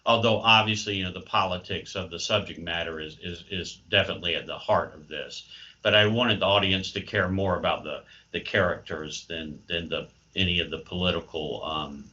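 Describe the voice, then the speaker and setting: An American man giving unscripted interview answers as a guest, halting as he searches for words, over a muffled remote call. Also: sits low, sharp tone. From United States.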